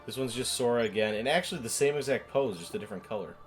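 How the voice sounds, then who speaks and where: A man's voice: mid-range voice, very fast, faint music behind. A man co-hosting a gaming show, chatting casually over gameplay in a teasing, opinionated, rambling way.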